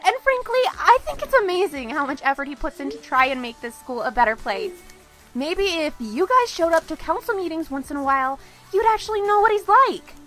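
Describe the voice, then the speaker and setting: Audio drama: actress as sensible, exasperated schoolgirl, high-pitched, dry delivery. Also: sing-song, a little fast, a bit bright, faint music behind, exaggerated voice. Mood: defensive. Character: bookish teenage schoolgirl, sensible, easily exasperated. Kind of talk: naturalistic audio drama dialogue.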